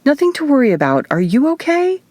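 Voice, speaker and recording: Woman doing a high-pitched, excitable young witch. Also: a little fast, dry recording. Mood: fretful. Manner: fretful, bossy, teasing. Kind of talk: audiobook character voice.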